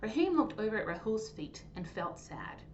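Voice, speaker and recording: A woman hosting a storytime, reading a children's story aloud in an animated, clearly phrased way. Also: mid-range voice, moderate pace. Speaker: storytime host and reader. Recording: dull lo-fi sound, faint noise.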